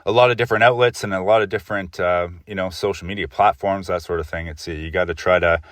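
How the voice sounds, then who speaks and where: Low-voiced man, distant from the mic. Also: steady tone, a bit bright, dry recording, clean studio sound. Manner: halting, repeats words, frequent "you know". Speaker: podcast host, interviewer. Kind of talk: casual interview.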